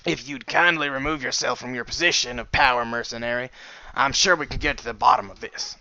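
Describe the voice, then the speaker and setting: A man voicing an authoritative pony military captain, formal, measured and commanding, in a storybook reading. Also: medium pitch, pushed and bright, no echo, lo-fi sound.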